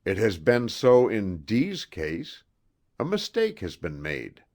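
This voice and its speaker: Man putting on a dry, strident, slow-talking professor. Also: fairly low pitch, wildly swooping pitch, darkish tone, exaggerated voice. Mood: musing, confidential. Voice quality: rather strident. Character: dry, acrid, pedantic male professor philosophizing.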